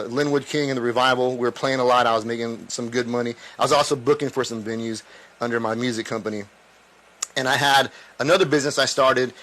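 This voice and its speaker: A man's voice: mid-range voice, sharp tone. A man in his late forties, a musician talking candidly to camera in an earnest, confiding way, often restarting his sentences. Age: 40s. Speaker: musician and vlogger.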